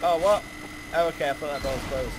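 A young British man giving casual, excitable, joking gameplay commentary as the host of a gaming video. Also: fairly high pitch, quick pace, over a noisy crowd, poor audio. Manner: excitable, jokey banter. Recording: home recording with clear background noise.

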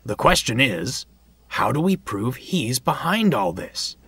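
A man's voice: medium pitch, sing-song, unhurried, fairly bright tone, breathy edge, no room sound, soft background music, heavily acted. A man voicing a loyal, blunt, hearty steam engine with a Scottish accent in a children's story. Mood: firm, reassuring.

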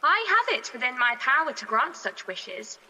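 A woman's voice: high-pitched, very animated, normal speed, pushed and bright, full character voice. Woman as stately pool spirit, formal, sweeping intonation, long reverb, radio pantomime. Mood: luring. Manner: grand, formal. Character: stately female water spirit of a magic pool.

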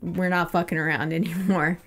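A woman's voice: lowish voice, fairly even intonation, moderate pace. Irreverent woman chatting about books. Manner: irreverent, swears freely. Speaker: podcast co-host. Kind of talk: casual book-discussion chat.

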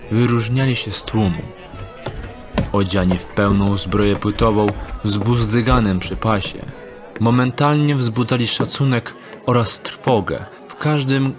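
A man's voice: on the low side, a little slow, music in the background. A man narrating a fantasy audio drama from a script as storyteller.

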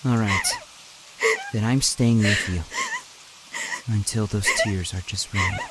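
A man's voice: lowish voice, takes it slowly. A man playing a caring, loyal young student in a dubbed comic drama. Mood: gentle concern. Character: caring, loyal young male student comforting his teacher. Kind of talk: comic dub voice acting. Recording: clearly noisy, loud rain behind.

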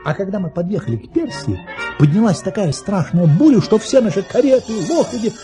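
An actor playing a jovial, boastful baron, telling tall tales theatrically in a grand, courteous, exclamatory manner. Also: male voice, high voice, very animated, brisk, over music. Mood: storytelling. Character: jovial boastful baron recounting his tall-tale travels. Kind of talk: theatrical audio-play storytelling.

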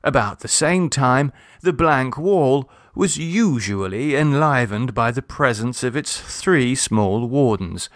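A man narrates a literary short story at a slow, careful pace. His delivery is measured and expressive, with a mid-pitched voice. The recording is dry and close. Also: no room sound. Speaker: audiobook narrator.

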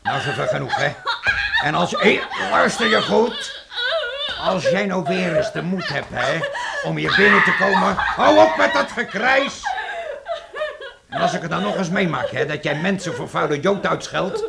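An actress playing a blunt, tough woman with a gravelly voice in a radio drama. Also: on the low side, lively intonation, moderate pace. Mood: threatening. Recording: very noisy old radio play recording.

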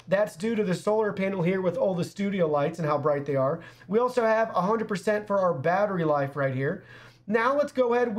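Young guy doing an upbeat product review, pretty high voice for a man. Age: young adult. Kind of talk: scripted product review to camera. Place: small indoor studio.

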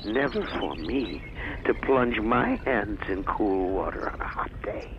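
A man's voice: on the low side, expressive. A man playing a hate-filled, godlike supercomputer in a radio drama, savouring each word theatrically. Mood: bitter longing. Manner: theatrical, savouring, drawn-out speech with sinister laughter. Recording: muffled, noisy radio play recording.

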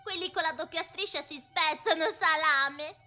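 A young woman voicing a cheeky, teasing little girl with a mocking, pert manner in an animated film fan dub. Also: high-pitched, normal speed, soft background music. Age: young adult. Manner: mocking, sing-song, pert.

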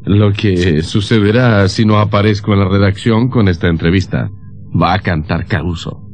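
An actor playing a cheeky, charming young journalist with a jaunty, flattering manner in old radio melodrama style. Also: male voice, on the low side, moderate pace, faint music behind, lightly acted. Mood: apologetic, persistent.

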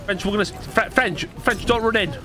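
A man playing an online roleplay game, bantering jokily and excitably, with plenty of swearing. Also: high-pitched, fairly quick.

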